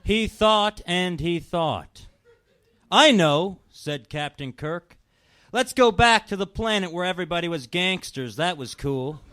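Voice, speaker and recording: A man with a high voice gives a comedic reading of a fan-written story. He delivers it dramatically, as a performance. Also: lively intonation, a little slow, pushed and bright.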